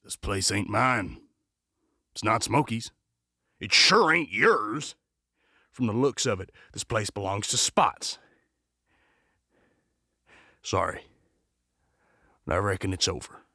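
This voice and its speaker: A man's voice: lowish voice, lively intonation, slow, long pauses, bright, forceful tone, dry recording, studio quality, full character voice. A man playing a weary, disillusioned gunslinger in a western audio drama, reluctant and plain-spoken. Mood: firm, weary. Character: weary, disillusioned male gunslinger ready to give up.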